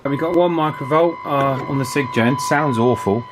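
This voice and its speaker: A man giving a casual, chatty hands-on repair commentary in an unhurried way, as if thinking aloud. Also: medium pitch, slight background noise. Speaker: radio repair hobbyist presenting his work.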